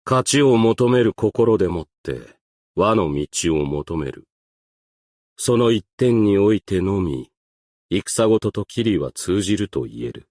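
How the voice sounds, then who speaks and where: A man voicing a composed, stern, ancient strategist and Go master for a game, with formal, archaic, measured and reserved diction. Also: lowish voice, sing-song, darkish tone, no room sound, clean studio sound, heavily acted. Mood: calm, aloof. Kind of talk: scripted game character voice lines.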